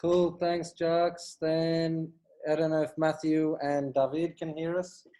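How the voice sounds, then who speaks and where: Mellow man moderating a call. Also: a little high, flat delivery, slow pace. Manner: easygoing, guiding introductions. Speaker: meetup organizer. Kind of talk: casual video call moderating. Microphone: video call.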